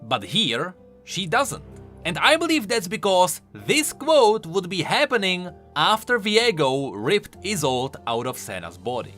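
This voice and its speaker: A man's voice: high-pitched, sing-song, a little slow, a bit bright, faint music behind. A man giving scripted, explanatory video commentary on game lore, stressing key words emphatically.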